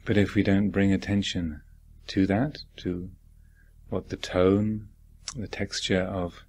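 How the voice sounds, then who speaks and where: A man teaching guided meditation, speaking in a deliberate, reflective manner with gentle questions and long pauses. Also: low-pitched, vocal fry, slightly rough.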